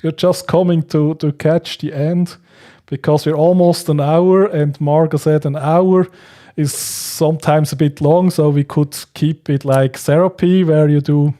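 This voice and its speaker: Slow-talking, mellow man hosting a livestream. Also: a little high, fairly even intonation. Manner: hesitant, halting, chuckles often. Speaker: livestream host. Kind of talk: casual livestream chat.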